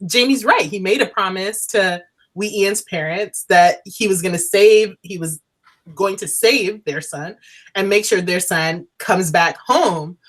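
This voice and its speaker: A woman's voice: medium pitch, a little slow, a bit bright. A woman chatting casually as co-host of a TV review show, emphatic and restating her points.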